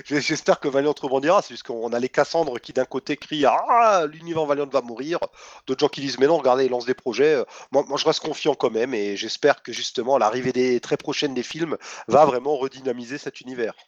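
A man's voice: mid-range voice, a little fast, no room sound. A man talking through a blocked, stuffy nose, stopping often on fillers as he recounts news in a casual chat. The audio is dull and lo-fi, and the room sounds dry and close. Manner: hesitant, many fillers. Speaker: comics news presenter.

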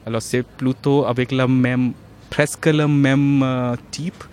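A man's voice: medium pitch, little pitch movement, takes it slowly, darkish tone, clear, resonant tone. Indian man speaking hesitant learner French. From North India. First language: Hindi. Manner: hesitant learner's French, many restarts and fillers. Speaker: interview guest. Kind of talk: casual conversational interview.

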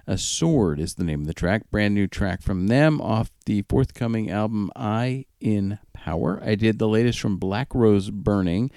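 Male radio host, casual and conversational, animated intonation with a gravelly, creaky edge. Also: lowish voice, no echo, clean studio sound. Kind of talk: casual radio chat, announcing tracks.

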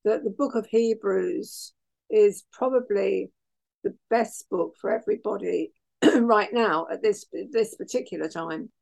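Female radio host, croaky with hay fever, gravelly and unhurried, chatty sign-off. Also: mid-range voice, low-quality recording. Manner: chatty, laughing, warm asides. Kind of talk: casual radio sign-off chat.

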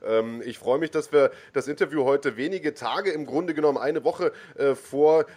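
A man's voice: a little high, moderate pace, darkish tone. A man hosting a combat sports podcast in casual chat, talking loosely with frequent fillers and self-corrections. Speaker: podcast host.

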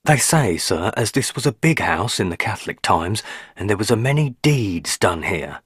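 A man's voice: fairly low pitch, very animated, normal speed, breathy edge, dry recording, clean studio sound. A man voicing an elderly, stubborn, superstitious rustic housekeeper woman who speaks in country dialect. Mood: ominous. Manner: rustic dialect grammar, hushed ominous.

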